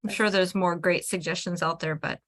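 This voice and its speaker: A woman who teaches adult English learners, offering practical classroom advice in a relaxed discussion with other teachers. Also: on the low side. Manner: measured, practical advice with fillers. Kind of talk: casual discussion among teachers.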